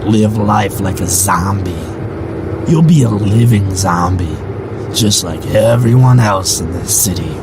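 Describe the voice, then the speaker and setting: Man playing an ominous faceless stranger, low-voiced. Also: lively intonation, measured pace, loud music behind the voice. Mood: grim, preachy. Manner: preachy, philosophical monologuing. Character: faceless bandaged mystery man, bitter and ominous.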